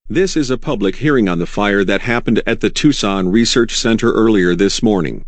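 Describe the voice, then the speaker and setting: A man's voice: on the low side, normal speed, no echo, close to an everyday voice. A man playing a formal county chief, giving a scripted official public statement. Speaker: official spokesman.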